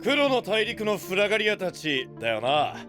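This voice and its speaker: Young gang boss, brash, rough-spoken, welcoming, very animated pitch, anime drama, music behind. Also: male voice, normal speed. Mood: hearty welcome. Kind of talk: Japanese anime voice drama acting.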